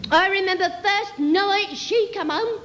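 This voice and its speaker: A woman's voice: extremely high pitch, unhurried, a bit bright, in a large hall, a little hiss or hum, pitched above the performer's own voice. A woman performing a comic monologue as a sentimental working-class Cockney, rambling and repeating earnestly while reminiscing. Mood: mournful, earnest.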